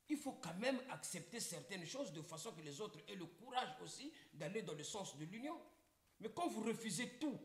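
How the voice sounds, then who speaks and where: A middle-aged Congolese man holds forth on a live stream in an emphatic, rhetorical way. His pitch sits high for a man and leaps around a lot. The room around him rings with echo. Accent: Congolese French. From Republic of the Congo. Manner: emphatic, rhetorical, repeats points. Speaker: livestream guest. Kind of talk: impassioned live talk. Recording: echoey room.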